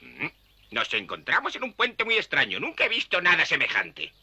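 A man's voice: fairly high pitch, wildly swooping pitch, normal speed. A man voicing a pompous ant troop commander in a classic children's cartoon dub. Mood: commanding, puzzled. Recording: very noisy old cartoon soundtrack.

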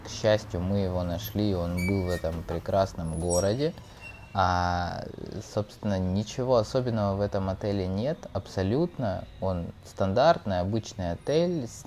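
Deep-voiced man with outdoor noise. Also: a little mellow. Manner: easygoing, pointing things out. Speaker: travel vlogger. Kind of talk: casual travel narration. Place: outdoors by a roadside gas station.

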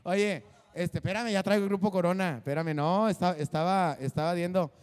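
Rowdy comedian in his forties, high-pitched, handheld mic in a reverberant studio. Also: fairly quick, darkish tone, clean tone. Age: 40s. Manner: rowdy, crude joking, many fillers. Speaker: comedian and show host. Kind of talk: casual comedic monologue. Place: small studio set with drum kit behind a desk.

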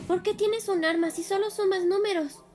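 A woman's voice: extremely high pitch, fairly even intonation, moderate pace, very noisy. A woman voicing a curious, cheeky little girl cat in a cartoon fan dub, with a Latin American Spanish accent, playful and blunt.

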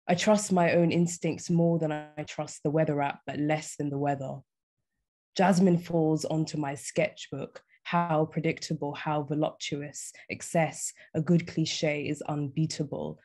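A young woman poet reading her poems aloud in a measured, deliberate way. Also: low voice, dark tone. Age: young adult. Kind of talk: poetry reading. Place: small indoor room, on a video call.

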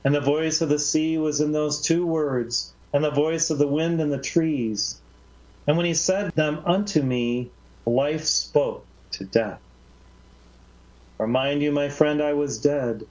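A man reading aloud as Mary Magdalene, a grave woman recalling how she was transformed, in a measured, reverent voice. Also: on the high side, a little mellow, pitched above the performer's own voice. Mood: solemn. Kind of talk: slow solemn reading of a literary monologue. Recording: slightly dull lo-fi recording.

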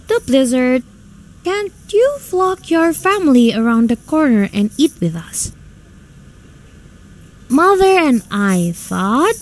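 Woman playing a very high-pitched, flustered young socialite. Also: a little mellow, heavily acted, poor audio. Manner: dramatic, exclaiming, stammering when flustered. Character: flustered, excitable young society daughter. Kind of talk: stage-like radio drama acting.

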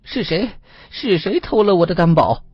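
A man's voice: on the high side, sing-song, a little fast, husky, no room sound, dull audio, voice pushed up, exaggerated voice. A man voicing a frightened male fox spirit in Taoist form, pleading and panicky in an audiobook. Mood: panicked. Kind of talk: audiobook character acting.

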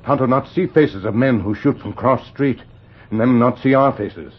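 An actor playing a loyal, stoic sidekick in a radio western, speaking in terse broken grammar with grunts. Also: male voice, mid-range voice, normal speed, natural voice. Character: loyal, stoic male sidekick of the masked hero. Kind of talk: old-time radio western acting. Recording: dull, noisy vintage radio recording.

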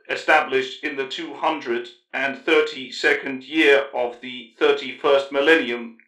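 A man's voice: medium pitch, pushed and bright, roomy sound, pitched above the performer's own voice, poor audio. Man doing a pedantic cyborg tech-priest. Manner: pedantic, formal, reciting details. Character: precise, aloof male cyborg tech-priest official.

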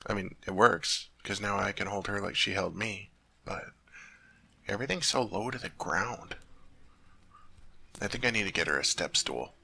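A man's voice: on the low side, normal speed, creaky, pauses often, pushed and bright, breathy edge, full character voice. A man playing a sweet, naive teddy bear turned human in an ASMR roleplay, chatting earnestly to the listener as he puzzles over human things. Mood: puzzled, muttering.

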